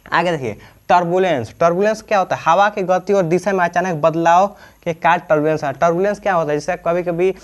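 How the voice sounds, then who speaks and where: A man, teaching informally, repeating himself and asking questions that he answers himself. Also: a little high. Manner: repetitive, asks and answers own questions, frequent check-in tags. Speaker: teacher explaining aviation terms. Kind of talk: informal explainer lesson.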